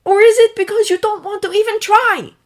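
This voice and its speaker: Woman doing an earnest sorry young guy, high strained pleading voice, gets loud. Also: fairly even intonation, brisk. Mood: accusing.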